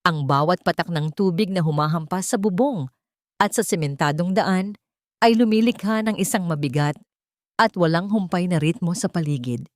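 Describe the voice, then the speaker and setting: A woman's voice: lowish voice, moderate pace, no room sound, studio quality. A woman with a Filipino accent narrating a dramatic scripted story as a storyteller.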